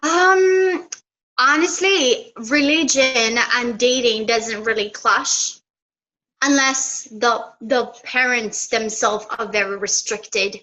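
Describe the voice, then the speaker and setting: Bright, unhurried young woman. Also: a little high, fairly even intonation, low-quality recording. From Ethiopia. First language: Amharic. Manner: open and earnest, light laughs. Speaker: interview guest. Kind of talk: casual interview chat.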